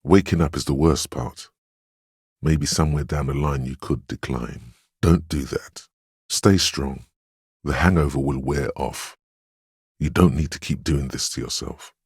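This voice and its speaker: A man voicing a stern, steadfast, protective inner voice of willpower in a video game, speaking in a measured, commanding, admonishing way. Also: no echo, clean studio sound, heavily acted. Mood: solemn resolve. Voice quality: very deep, smooth, resonant. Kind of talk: dramatic second-person game narration.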